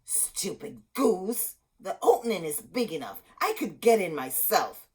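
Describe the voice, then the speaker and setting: Lady doing a wicked old fairy tale witch, low n husky, slow and sly, greedy. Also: very animated, exaggerated voice. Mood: scornful. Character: wicked old witch, sweetly coaxing then greedy and scolding. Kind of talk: read-aloud children's fairy tale.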